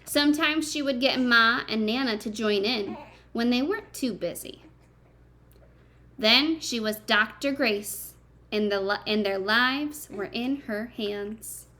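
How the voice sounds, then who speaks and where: A woman's voice: fairly high pitch, unhurried, sharp tone. A woman reading a picture book aloud to young children like a teacher, expressively, with simple chatty asides and questions to her listeners.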